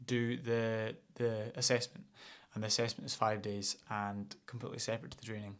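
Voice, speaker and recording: Relaxed young Scottish man, flat voice. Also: on the low side. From Scotland. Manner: relaxed, many ums and restarts. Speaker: vlogger, outdoor instructor. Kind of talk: casual vlog talk.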